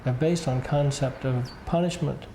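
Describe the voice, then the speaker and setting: A man's voice: medium pitch, moderate pace, background noise. A man speaking reflectively and explaining his views, as in an interview.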